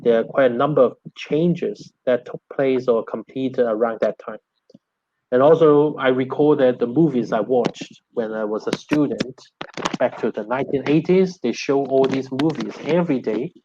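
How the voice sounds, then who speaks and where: A man's voice: mid-range voice, normal speed, noisy background. A middle-aged man with a Hong Kong Cantonese accent, a native Cantonese speaker, explains as a professor answering questions, often repeating words as he goes. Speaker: linguistics professor, guest lecturer. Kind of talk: answering questions after an academic talk. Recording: dull, band-limited online call audio.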